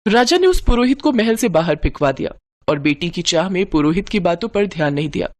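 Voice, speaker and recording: A woman reading a folk tale aloud with dramatic expression. Her pitch moves a lot through each line. A faint noise sits behind her voice. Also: sing-song, brisk. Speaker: narrator. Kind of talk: scripted storytelling narration.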